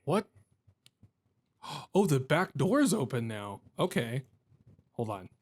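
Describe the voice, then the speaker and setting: A man's voice: medium pitch, sing-song, slow, a little mellow. A man hosting a gaming video with casual let's-play commentary, thinking aloud in a wry, jokey way. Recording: game ambience and noise behind voice.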